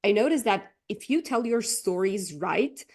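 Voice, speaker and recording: A woman's voice: mid-range voice, animated, a little slow, darkish tone. A woman from Croatia, speaking English, chatting as a guest coach on a podcast with lots of fillers and frequent laughter. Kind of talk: casual interview chat.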